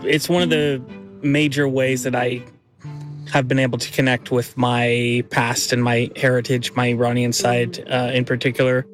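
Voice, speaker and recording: A man's voice: medium pitch, flat delivery, normal speed, soft background music. A man in his thirties recalling memories casually in an interview, with lots of fillers and restarts. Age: 30s. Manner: chatty, filler-heavy, with likes and restarts.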